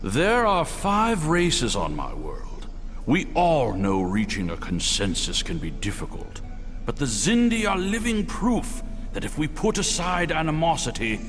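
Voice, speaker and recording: An actor playing a solemn, earnest alien ambassador who speaks in a formal, oratorical way in a video game. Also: male voice, on the high side, huge pitch swings, a little slow, fairly bright tone, exaggerated voice. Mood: solemn, urging. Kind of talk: scripted video game dialogue. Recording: long hall reverb over background music.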